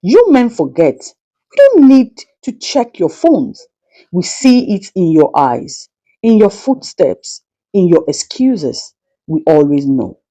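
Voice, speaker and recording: A woman voicing a wise, calm wife in a folktale, knowing and quietly sarcastic. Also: fairly low pitch, slow pace, full character voice.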